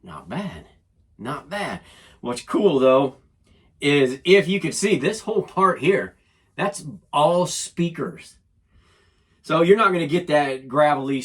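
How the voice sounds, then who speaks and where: A man's voice: fairly high pitch, a bit bright. A man giving a casual, folksy hands-on demonstration as a DIY host, often talking half to himself. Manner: folksy, talks to himself while working. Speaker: DIY channel host and product reviewer.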